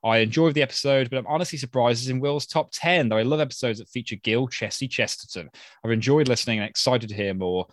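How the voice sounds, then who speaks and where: A man's voice: mid-range voice, fast, dry recording. Male podcast host, mid voice, casual, dry close mic. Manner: easygoing, chatty, with fillers and laughs. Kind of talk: casual chat, reading listener mail.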